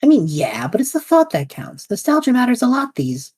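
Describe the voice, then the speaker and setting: A woman's voice: fairly high pitch, a little fast, husky, no room sound, clean studio sound. A woman voicing an eager, scatterbrained young male adventurer who jokes his way through danger, in a fan audio drama. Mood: excited. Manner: excitable, joking, reckless.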